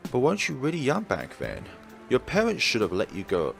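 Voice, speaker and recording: A man's voice: medium pitch, wildly swooping pitch, brisk, vocal fry, fairly bright tone, faint music behind, heavily acted. A man reading the lines of a young male gondolier guiding a tour, in a courteous manner.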